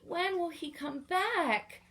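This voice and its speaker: A woman's voice: very high-pitched, takes it slowly, fairly bright tone, voice pushed up, full character voice. A woman voicing an eager, curious young girl who wonders and asks questions in a children's storybook. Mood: longing.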